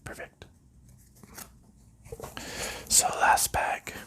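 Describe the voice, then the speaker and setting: A man hosting an ASMR video in a soft unvoiced whisper, calmly narrating each step as he packs up a board game, with relaxed fillers. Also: extremely slow. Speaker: ASMR channel host.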